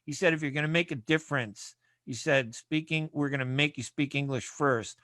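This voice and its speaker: Male radio host from the US, bright, forceful tone, dry close sound. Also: a little high, moderate pace, no room sound. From United States. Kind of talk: conversational radio interview.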